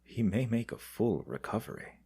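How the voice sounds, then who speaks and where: A man's voice: on the low side, lively intonation, normal speed, vocal fry, dark tone, breathy edge, exaggerated voice. An actor playing a sober male doctor in an audio drama.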